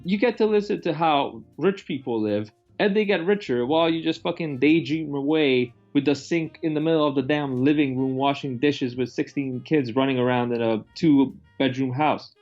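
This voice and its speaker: A man's voice: mid-range voice, normal speed, few pauses, darkish tone, muffled recording, faint music behind. A man chatting casually about films in a rambling, opinionated way, with some profanity. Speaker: movie review host. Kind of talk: casual film review chat.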